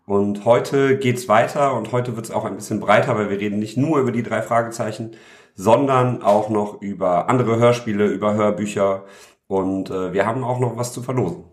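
A man's voice: fairly low pitch, normal speed. A man co-hosting a casual podcast chat, talking enthusiastically with many filler sounds. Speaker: podcast co-host. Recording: roomy echo.

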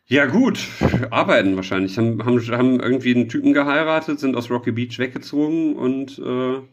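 Halting, casual man. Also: medium pitch, muffled recording. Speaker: podcast co-host. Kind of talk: casual, rambling chat with a co-host.

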